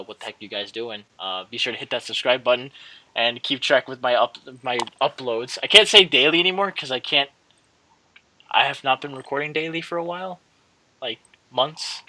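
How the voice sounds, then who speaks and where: Bright-voiced young man rambling casually. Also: medium pitch, rough recording. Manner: hesitant, rambling, repeats and trails off. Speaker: gaming channel host. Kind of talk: casual rambling video outro.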